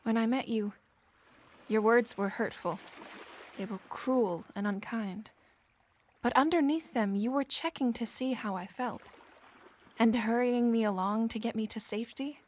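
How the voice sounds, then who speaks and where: A woman playing a husky, earnest young heroine. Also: fairly high pitch, unhurried, darkish tone. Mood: heartfelt. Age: young adult. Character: tender, earnest young Regency woman, quietly brave. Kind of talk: Regency-era audio drama acting.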